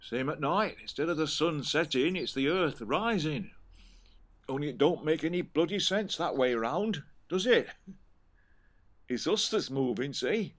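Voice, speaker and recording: A man's voice: fairly high pitch, lively intonation, a little slow, fairly bright tone. A man with an English accent playing a grumbling, plain-spoken homespun philosopher in an audio play, talking colloquially. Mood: grumbling, musing. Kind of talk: naturalistic audio play acting.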